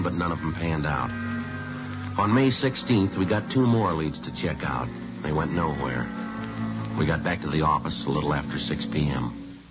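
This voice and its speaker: An actor playing a terse, weary police detective who narrates his case in clipped, matter-of-fact 1950s radio drama style. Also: extremely low pitch. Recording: muffled vintage radio recording, music behind.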